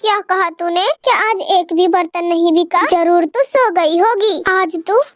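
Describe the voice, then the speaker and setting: A woman's voice: extremely high pitch, measured pace, no room sound, muffled recording. A woman voicing a harsh, greedy aunt bird in a children's cartoon, bossy and scolding. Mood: angry. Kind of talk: children's cartoon story acting.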